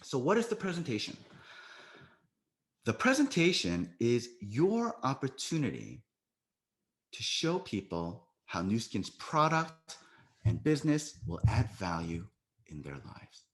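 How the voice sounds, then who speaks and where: An American man leads a sales training session over a video call. His pitch rises and falls a lot as he coaches, and he keeps checking in with his listeners with a quick "right?". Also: wildly swooping pitch. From United States. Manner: coaching, often tags "right?".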